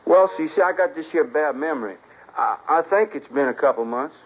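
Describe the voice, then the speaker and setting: A jittery young Southern car-theft suspect, hesitant drawl, husky voice, scratchy 1950s radio. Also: male voice, fairly high pitch, animated, brisk. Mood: evasive. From New Orleans. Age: young adult. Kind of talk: 1950s radio drama acting. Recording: old lo-fi radio broadcast, husky.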